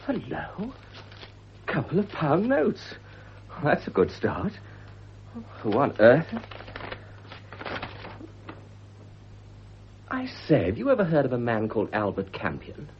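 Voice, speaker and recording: An actor playing a well-spoken, down-on-his-luck young British gentleman, polite and wry, in a 1950s radio drama. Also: medium pitch, huge pitch swings, unhurried, pauses often, a little hiss or hum, full character voice. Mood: intrigued. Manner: polite, wry, educated phrasing. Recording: dull, husky 1950s radio recording.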